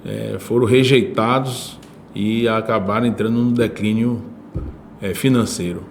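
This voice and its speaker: A man's voice: lowish voice, steady tone, unhurried, gravelly, slight background noise. A man from Salvador with a Bahian Brazilian accent, hosting a podcast in a casual, conversational way. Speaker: podcast host.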